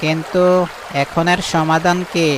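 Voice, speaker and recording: Man playing a grumbling cartoon fox, raised pitch. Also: monotone, measured pace, a bit bright, slight background noise. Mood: puzzled. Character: hard-working male fox orchard keeper, wronged and indignant. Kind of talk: cartoon voice acting.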